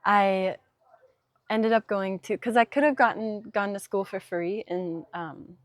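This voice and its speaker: A woman's voice: medium pitch, unhurried, no echo, clean studio sound. A young woman from Denmark, a designer, talking casually in an interview outdoors in a vineyard, reflective and full of ums and likes with light laughter. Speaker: guest designer. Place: outdoors in a small vineyard on a summer evening. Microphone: noise-cancelling mic.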